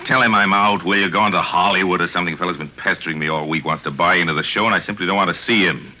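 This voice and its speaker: A man's voice: low voice, steady tone, fast talker, natural voice. An actor in 1940s radio style playing a flippant, pompous theater man, theatrical and florid in his drawling sarcasm. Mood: haughty. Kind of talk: 1940s radio acting and narration. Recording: muffled old radio broadcast recording.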